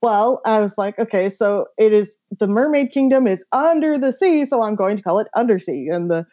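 A woman chatting casually as a guest author on a podcast, giggly and animated, peppering her storytelling with "like". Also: mid-range voice, normal speed, dull audio.

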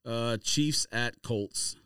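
A man's voice: mid-range voice, extremely slow, fairly bright tone, clear, resonant tone, dry recording, clean studio sound. A man hosting a casual sports podcast, talking in a blunt, opinionated, slangy way. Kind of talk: casual sports banter and game picks. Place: small room or studio, in person.

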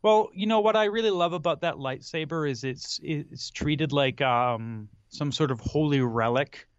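A man with a slightly high voice talks casually about films as a co-host, often halting to search for his words. His humor is dry and tongue-in-cheek. The sound is close and dry but somewhat dull and muffled. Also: no echo. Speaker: podcast co-host. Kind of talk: casual film discussion.

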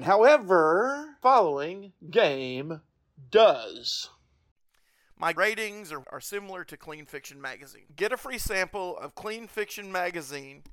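A man with a high voice hosts a podcast, reading a prepared review. The sound is dry, with very little room around it. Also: a bit bright, no room sound.

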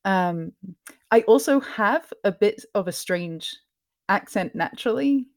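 A woman from Scotland with a mixed, mostly English accent, speaking softly in a lowered tone as a guest in a casual interview, laughing often. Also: lively intonation, a little slow. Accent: mixed, mostly English with some Scottish. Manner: soft lowered tone, laughs often, many ums. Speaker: podcast host, guest.

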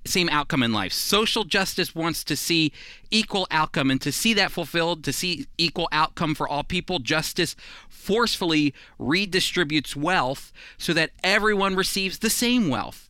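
A man teaching the Bible on radio, speaking earnestly and emphatically in deliberate, repeated phrases. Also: fairly high pitch, bright, forceful tone, clear, resonant tone, dry recording.

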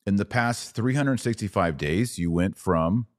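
A middle-aged American man with a fairly low voice and a very slow, measured pace. He argues like a commentator, pressing points emphatically and posing rhetorical questions. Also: expressive. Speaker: podcast host. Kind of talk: opinion commentary on played clips.

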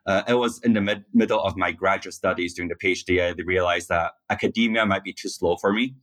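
A man in his thirties from Taiwan, a startup founder giving measured answers in an interview. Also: deep voice, steady tone, a bit bright.

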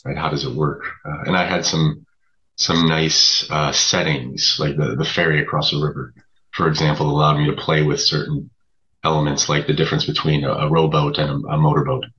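A man being interviewed as a writer, explaining things conversationally in a halting way. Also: very deep voice, little pitch movement, sharp tone, lo-fi sound.

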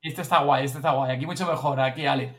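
A man's voice: mid-range voice, fairly even intonation, racing, a crowd in the background. A man from co-hosting a casual live chat. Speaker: live show co-host.

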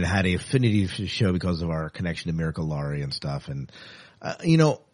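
A man's voice: deep voice, a little mellow, no echo. A man co-hosting a podcast, chatting casually, thinking aloud and reading out news in a conversational way. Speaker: podcast co-host.

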